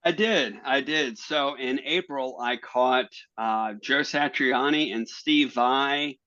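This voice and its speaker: A man's voice: mid-range voice, sharp tone, no echo, dull audio. A man who works as an educator, co-hosting a podcast and chatting casually, often restarting his sentences. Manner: halting, restarts phrases. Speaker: teacher, podcast co-host. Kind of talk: casual chat.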